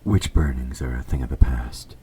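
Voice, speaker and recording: Guy playing a super low voiced evil king, dark and mellow, polite but quietly menacing, formal fantasy roleplay, bit of background noise. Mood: earnest, persuasive. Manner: formal, courtly, measured. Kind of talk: scripted audio roleplay addressed to the listener.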